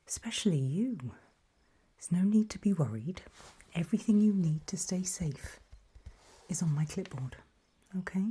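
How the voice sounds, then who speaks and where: A calm, faintly sinister female official acted by a woman speaks in a very breathy whisper, slowly and softly. Her tone is low and mellow, measured and politely ominous, as in an ASMR roleplay briefing. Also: heavily acted. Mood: soothing.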